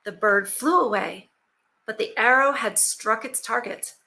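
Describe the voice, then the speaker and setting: A woman reading an old fairy tale aloud for her channel, mixing steady storytelling with chatty asides to her viewers. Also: a little high, measured pace. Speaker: YouTube storyteller.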